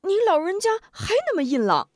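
A woman's voice: lowish voice, very animated, measured pace, no room sound, studio quality. A woman voicing a hot-tempered young male swordsman in a told story, blurting out his feelings. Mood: joyful. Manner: blurting, emotional outbursts.

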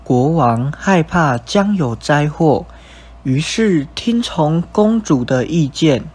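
A man's voice: on the high side, animated, takes it slowly, a little mellow, breathy edge. A young man with a Taiwanese Mandarin accent reading scripted narration for a radio drama. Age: young adult. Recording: music bed behind narration.

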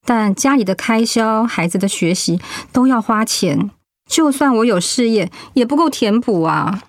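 A woman's voice: on the high side, expressive, moderate pace, no echo, studio quality. A woman acting a proud, stubborn middle-aged wife and mother in a radio drama, blunt and sardonic. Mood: bitter, resigned.

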